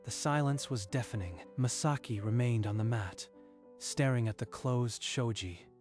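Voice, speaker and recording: A man reading scripted story narration in a measured, literary storytelling manner. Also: medium pitch, darkish tone, faint music behind.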